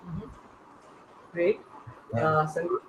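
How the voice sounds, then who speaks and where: A panel host of unclear sex speaking informally and a little haltingly while moderating questions. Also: medium pitch, lively intonation. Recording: echoey, dull online call with faint noise.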